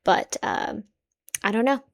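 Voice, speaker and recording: A young adult woman whose native language is Swedish, hosting a reaction video in casual, musing commentary that often trails off quietly. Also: slow pace. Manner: musing, hedging, soft-spoken with frequent quiet trailing asides.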